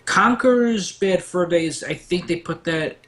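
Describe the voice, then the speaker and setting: A man's voice: sits high, slow pace, a bit bright. A man on a discussion panel, recounting things casually and enthusiastically.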